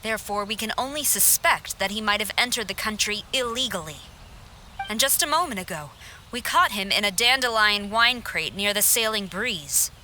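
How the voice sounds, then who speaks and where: A woman's voice: mid-range voice, lively intonation, normal speed, sharp tone, slight background noise. A woman playing a stern young military general in a video game, formal and authoritative. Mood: official, explaining. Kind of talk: video game cutscene acting.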